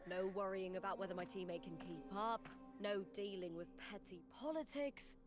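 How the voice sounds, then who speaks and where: Woman acting a proud young warrior over loud music. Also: medium pitch, normal speed, natural voice. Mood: proud, eager. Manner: self-assured, matter-of-fact. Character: proud, confident young female arena warrior, unbothered by gossip. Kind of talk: video game voice acting. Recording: game audio under loud music. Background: game music.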